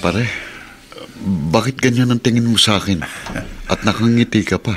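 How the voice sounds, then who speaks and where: Casting: man playing middle-aged dad, pedicab driver, proud and earnest, fairly deep voice, natural radio drama dialogue. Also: normal speed, over music, lightly acted. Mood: curious, friendly. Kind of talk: Filipino radio drama acting.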